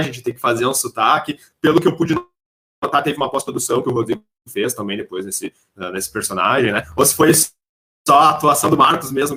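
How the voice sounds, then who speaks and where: Brazilian male interviewer, quick pace, mid-range voice, conversational questioning. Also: mid-range voice, a bit bright. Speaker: teacher interviewing guests.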